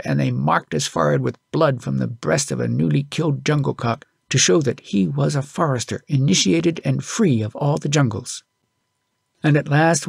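A man's voice: fairly high pitch, gravelly, a little mellow, breathy edge, dry recording, studio quality. A man reading a story aloud as an audiobook narrator, in scripted storytelling style.

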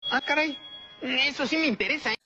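A sarcastic, mocking high-voiced cartoon character heard in an inserted clip over loud music. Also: brisk, dull audio. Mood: intrigued.

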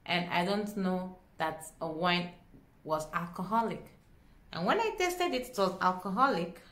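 A young woman with a Nigerian accent tells a story to camera in a dramatic, expressive way, acting out dialogue as she goes. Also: on the low side, a little slow. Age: young adult. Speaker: vlogger. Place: small indoor room at home. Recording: echoey small room.

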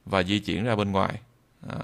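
A man with a southern Vietnamese accent giving an improvised software tutorial, halting and repeating phrases as he demonstrates. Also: deep voice, flat delivery, creaky. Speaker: tutorial instructor.

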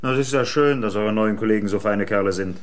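Man playing stern village cop, dry and insistent, fairly low voice, radio crime play. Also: fast, natural voice. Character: stern but familiar village policeman. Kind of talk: German radio crime drama acting.

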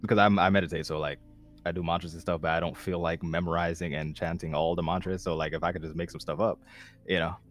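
A man with a deep voice, chatting in a laid-back, rambling way as a participant on a video call. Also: level tone, quick pace, faint music behind. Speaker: class participant. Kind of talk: casual group call chat.

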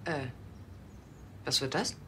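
Young cop colleague, practical, teasing, casual tv comedy chat, bit of background noise. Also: female voice, slow, fairly bright tone. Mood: sceptical. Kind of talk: TV crime comedy acting.

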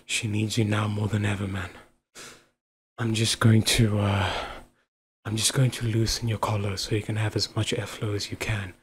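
A man playing a devoted, affectionate husband in an intimate audio roleplay, with a husky voice. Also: lowish voice, little pitch movement, normal speed, slight background noise, close to an everyday voice. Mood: anxious, soothing. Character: devoted, affectionate husband, playful then anxiously caring. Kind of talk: intimate ASMR boyfriend-style roleplay acting.

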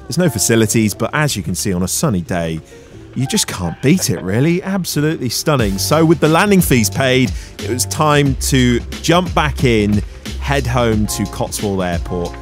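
Music plays under a man with an English accent and a medium-pitched voice. He explains things in a conversational voice-over, chatty and ready to poke fun at himself. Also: sing-song, moderate pace. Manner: chatty, self-deprecating. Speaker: pilot and vlogger.

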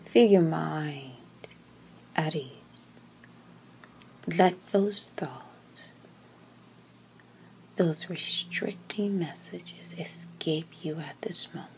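A woman with a low, breathy voice guides a meditation at an extremely slow pace. She speaks gently and calmly, often close to a whisper, leaving long pauses between short phrases. A faint ambient noise sits behind her. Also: poor audio. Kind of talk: slow guided meditation, soothing scripted narration.

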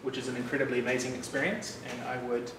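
New Zealand developer in his thirties, chatty meetup presenter, mid-pitched, distant room mic. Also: fast. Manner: relaxed, chatty, with frequent fillers and asides. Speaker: developer giving a meetup talk. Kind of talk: casual technical talk. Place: bright loft-like office room with an audience. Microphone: room mic, speaker standing away from it. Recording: distant, echoey room with background noise.

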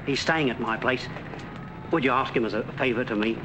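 An actor playing a friendly, rough-spoken middle-aged cab driver on a night street in a 1940s film. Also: male voice, medium pitch, fairly quick, muffled recording, natural voice. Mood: pleading. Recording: noisy old outdoor film soundtrack.

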